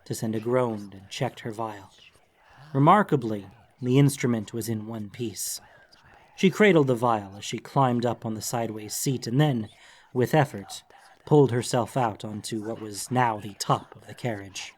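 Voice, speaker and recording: A man's voice: medium pitch, moderate pace. A man reading a scripted fantasy story as an audiobook narrator, in a dramatic storytelling style. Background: background music.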